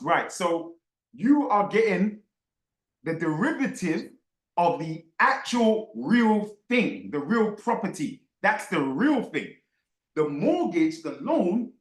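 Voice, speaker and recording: High-pitched, animated man in an echoey room. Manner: emphatic, insistent, repeats phrases for effect. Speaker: host of an online book club discussion. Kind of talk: animated live group discussion.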